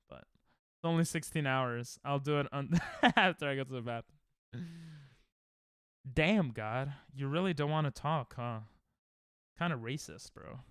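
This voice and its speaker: Sarcastic man with a lively voice. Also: a little high, clean tone, dry recording, clean studio sound. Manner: sarcastic, joking banter with chat. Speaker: streamer. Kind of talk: casual live-stream chat while gaming.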